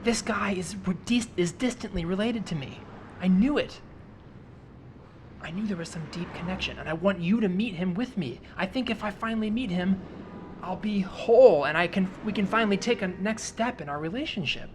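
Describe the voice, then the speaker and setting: An earnest, obsessive boyfriend played by a man in an improv comedy podcast. He pleads insistently and over-dramatically, stumbling over his words, his voice often climbing higher. Clear traffic ambience runs behind him. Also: normal speed, natural voice. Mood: earnest, defensive. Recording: clear street ambience with vehicle noise. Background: added outdoor city soundscape with traffic.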